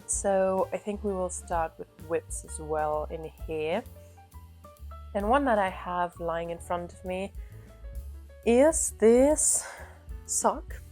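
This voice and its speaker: Lady chatting about her knitting, slow and soft, a bit apologetic, low-ish voice. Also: soft background music. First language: German. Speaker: knitting podcast host. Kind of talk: casual chat to camera.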